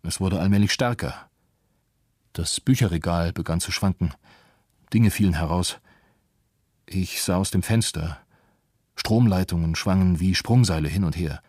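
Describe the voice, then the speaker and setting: A parent giving a witness account in a radio play, measured and plain. The man's voice is low and slightly husky, recorded dry. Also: darkish tone, dry recording. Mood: measured recollection. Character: Japanese parent recounting an earthquake day in measured detail. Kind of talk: scripted radio play monologue.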